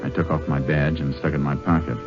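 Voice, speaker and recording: An actor playing a laconic, shrewd Texas Ranger in an old-time radio western, with an American accent and terse, folksy cowboy talk. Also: male voice, rumbling low, level tone, fast. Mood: terse, determined. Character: laconic Texas Ranger, shrewd, steady lawman posing as drifter. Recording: muffled vintage radio recording, music behind.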